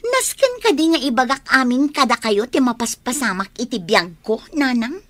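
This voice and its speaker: Boastful young ilocano girl, high lilting voice, gushy, radio drama. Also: lively intonation, moderate pace, fairly bright tone. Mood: earnest. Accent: Ilocano Filipino. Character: boastful young woman chasing a rich boyfriend.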